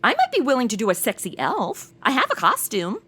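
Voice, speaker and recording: A woman's voice: fairly high pitch, wildly swooping pitch, fairly quick, pushed and bright, husky, heavily acted. A young woman playing an irreverent, chatty modern sidekick with a sassy, casual manner in a comedic audio drama. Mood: joking. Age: young adult.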